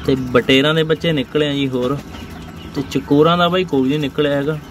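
A man's voice: a little high, fairly even intonation, a little fast. A young man with a Punjabi accent from India, giving casual, informal vlog commentary to viewers on a phone at a poultry farm. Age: young adult. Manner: informal, addresses viewers as friends. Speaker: farm vlogger. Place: poultry farm sheds and yard. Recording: noisy farm with clucking birds. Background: chickens clucking, birds.

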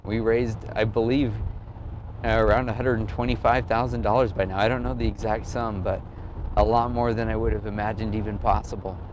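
Man, casual interview storytelling, earnest, halting delivery, faint outdoor street noise. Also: lowish voice, flat delivery. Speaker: amateur cyclist and blogger being interviewed. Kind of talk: casual personal storytelling to camera.

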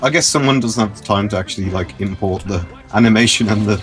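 A man's voice: on the low side, quick pace, soft background music. A young man chatting and joking casually while playing a video game. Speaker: gaming video player. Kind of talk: joking casual gameplay chat.

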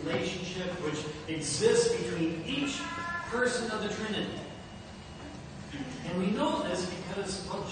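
Male pastor, middle-aged, high-pitched voice, deliberate sermon delivery in a very reverberant church. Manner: measured, deliberate delivery with pauses. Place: indoors at a church pulpit. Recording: echoey hall, distant mic, noisy background.

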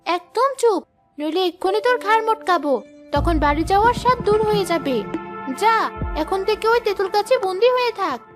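Shrill, taunting girl-ghost villain. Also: animated, normal speed, over music. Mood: threatening. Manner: taunting, bossy. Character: wicked ghost in a girl's body, bossy, cackling. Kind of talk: children's cartoon voice acting.

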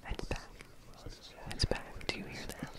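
Female performer as haunted, frightened young woman; slow, halting, repetitive whisper, ASMR horror roleplay. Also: natural voice. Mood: frantic, defensive. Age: young adult. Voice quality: breathy whisper. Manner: halting, repetitive, unnerved muttering. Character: terrified, sleepless young woman haunted in her house. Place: small indoor home studio room. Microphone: close desk microphone with foam cover.

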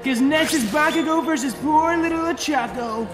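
A man playing an excitable, whining sports announcer in an anime parody dub, dramatic and complaining. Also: very high-pitched, normal speed, music in the background. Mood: dismayed.

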